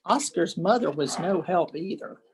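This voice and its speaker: An older woman, a grandmother, reading a children's picture book aloud expressively, with deliberate dramatic pauses. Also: lowish voice, lively intonation, slight background noise, poor audio.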